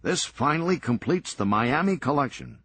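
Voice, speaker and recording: A man voicing a manic, excitable male collector who talks fast and swings between moods. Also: medium pitch, vocal fry, slightly rough, no room sound, pitched above the performer's own voice, exaggerated voice. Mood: proud. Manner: rapid, giddy, swinging wildly between moods.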